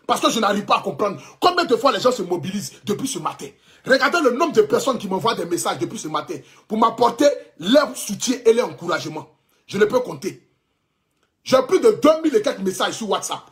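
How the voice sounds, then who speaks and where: Ivorian guy in his 30s ranting on a live stream, fervent and repetitive, voice jumping all over. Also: sits high. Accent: Ivorian French. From Ivory Coast. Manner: preachy, fervent, repetitive, defiant. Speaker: social media blogger. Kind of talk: emotional livestream address. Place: indoors, clothing rack behind.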